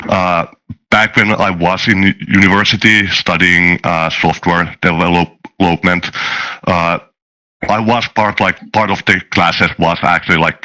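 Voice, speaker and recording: A man chatting casually as a guest in an interview, talking in a halting, rambling way. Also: deep voice, pushed and bright, low-quality recording. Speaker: guest, tabletop game designer and dungeon master. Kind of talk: casual chat, interview answers.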